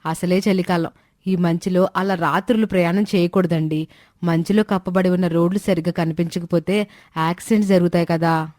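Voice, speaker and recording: A woman playing a caring, sensible young daughter-in-law who gently advises in a family story. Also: lowish voice, steady tone, fairly quick, no echo, studio quality, close to an everyday voice. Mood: concerned. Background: background music.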